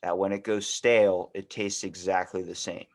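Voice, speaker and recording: A man's voice: low voice, flat delivery, moderate pace. A man hosting a podcast in casual, comedic chat, rambling and slangy as he jokes. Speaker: podcast host.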